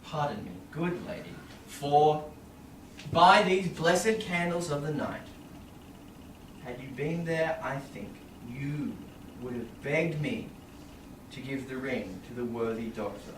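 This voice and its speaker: A man's voice: on the high side, slow pace. A young man playing an earnest, guilt-ridden noble husband, pleading in a staged Shakespeare reading. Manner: earnest, imploring. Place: small indoor theatre stage. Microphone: far audience camera. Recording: roomy, noisy distant audience camera.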